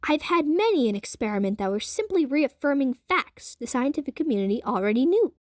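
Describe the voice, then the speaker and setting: A woman acts out an old-fashioned man of science in an audio drama. He speaks formally and wordily, a little guarded, in a high, expressive voice recorded dry. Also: fairly quick, no room sound. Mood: reassuring. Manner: formal, wordy, hesitant.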